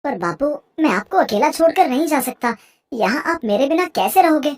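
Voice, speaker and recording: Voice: high-pitched, lively intonation, moderate pace. An actor voicing a devout, obedient young village man in a cartoon moral story, earnest and respectful. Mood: reluctant. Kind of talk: animated Hindi moral story acting.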